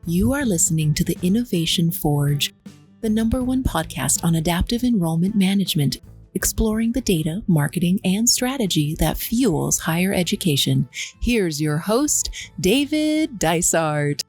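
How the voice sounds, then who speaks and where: A woman's voice: on the low side, animated, moderate pace, a little mellow, soft background music. A woman reading a scripted promotional introduction as a podcast announcer.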